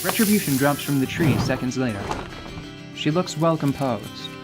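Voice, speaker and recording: A man giving scripted, measured storytelling narration for a fantasy radio drama. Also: mid-range voice, animated. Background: light music.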